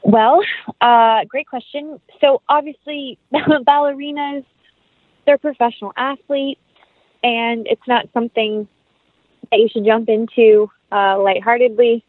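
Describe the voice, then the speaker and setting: A woman's voice: fairly high pitch, steady tone, unhurried, dry recording. A woman giving a casual interview as an actress guest, chatty and enthusiastic, over a call. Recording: narrow phone-like line.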